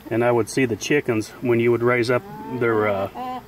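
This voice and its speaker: A man's voice: medium pitch, background noise. A man who keeps bees, talking casually and plainly as he films outdoors in a chicken pen. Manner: plain-spoken, unhurried, folksy grammar. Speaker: beekeeper and channel host. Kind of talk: casual narration while filming. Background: faint buzzing of bees outdoors.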